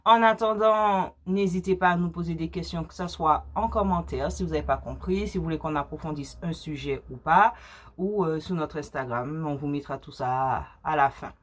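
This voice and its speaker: A woman's voice: low voice, normal speed. A woman from the French Caribbean chatting casually on video, explaining things step by step with practical advice. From French Caribbean (Guadeloupe and Martinique). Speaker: small cosmetics business co-owner answering questions.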